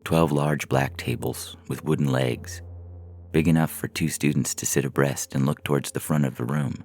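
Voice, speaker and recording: A man reading a first-person short story as a narrator, in a measured, deliberate way, from a script. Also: very deep voice, fairly even intonation, darkish tone. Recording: music bed under the voice. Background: synthesizer music.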